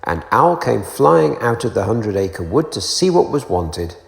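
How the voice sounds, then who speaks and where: A man reading a children's storybook aloud in an expressive narrating style. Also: fairly low pitch, unhurried, creaky. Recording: roomy echo, long reverb, faint music.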